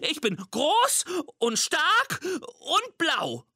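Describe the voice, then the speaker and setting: A tiny yellow storybook monster with a piping, wildly swooping squeak. It is easily scared and backpedals on every brave claim. The reading is unhurried and close, with no room around it. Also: male voice, wildly swooping pitch, gravelly, dry recording, heavily acted. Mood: fibbing. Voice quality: high squeaky pleading voice. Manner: stammering, backpedaling.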